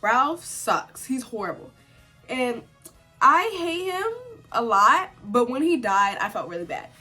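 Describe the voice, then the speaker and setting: A young woman vlogger with a congested cold, chatting at home in a sit-down video and giving opinionated takes full of "like" and sudden animated outbursts. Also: on the high side, measured pace, faint music behind. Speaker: vlogger reviewing a TV series. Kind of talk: casual sit-down chat to camera.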